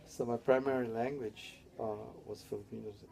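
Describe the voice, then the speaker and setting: A man's voice: mid-range voice, fairly even intonation, moderate pace, gravelly, darkish tone. An older man from Hawaii, telling his life story in an oral history interview, rambling and tagging his sentences with "yeah?". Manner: rambling, tags statements with "yeah?".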